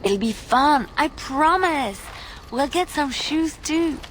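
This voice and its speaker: A woman's voice: high-pitched, lively intonation, slow, fairly bright tone, a little hiss or hum, exaggerated voice. An actress playing a sweet, girlish young adventurer in a fantasy game, gushing and coaxing. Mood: eager coaxing. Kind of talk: fantasy video game voice acting.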